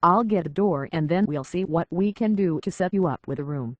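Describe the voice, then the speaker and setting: Man playing a prim British robot butler, high-voiced. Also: brisk, no echo, highs cut off, natural voice. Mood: prim, officious. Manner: formal, deferential, fussily polite. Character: prim, courteous, anxious robot butler serving as hotel concierge. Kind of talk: scripted video game character acting.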